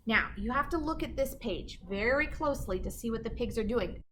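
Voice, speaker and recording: A woman's voice: a little high, animated, brisk, fairly bright tone, slight background noise. A woman reading a children's storybook aloud like a teacher, with dramatic emphasis and chatty asides to her listeners.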